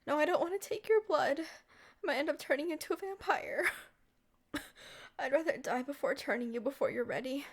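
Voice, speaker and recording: A woman playing a centuries-old but young-looking vampire girlfriend in an ASMR roleplay, talking sweetly and affectionately to the listener in an expressive way. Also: high-pitched, moderate pace, long pauses. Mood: weakened, urgent.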